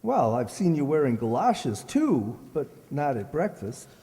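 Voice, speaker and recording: A man's voice: medium pitch, big pitch swings, a little slow, warm tone. A man playing a sardonic, put-upon banker husband with dry exasperation in an old-time radio sitcom. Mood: puzzled, sarcastic. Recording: echoey hall, long reverb.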